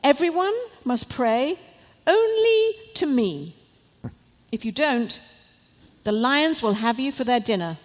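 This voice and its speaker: A woman's voice: high voice, big pitch swings, slow, voice pushed up, full character voice. A woman voicing a grand, gullible but kind-hearted king in a storybook, proclaiming his words emphatically. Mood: pompous decree. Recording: live reading in a reverberant hall.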